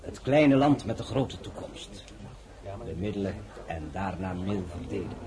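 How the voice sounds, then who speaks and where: A grave, deep-voiced courtier. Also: male voice, animated, a crowd in the background. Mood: solemn. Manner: slow, solemn, formal. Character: older courtier, grave and deferential.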